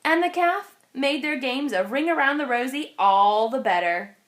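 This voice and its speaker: A woman narrating a children's picture book aloud in an expressive storytelling style. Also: sits high, normal speed.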